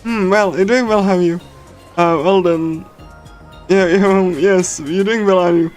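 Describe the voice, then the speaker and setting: Higher-voiced man chatting over game music. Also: fairly even intonation, rough recording. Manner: halting, giggly, chatty fan. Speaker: streamer reading a visual novel. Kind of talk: casual livestream chat with loose readthrough. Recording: music playing behind the voice. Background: game soundtrack music.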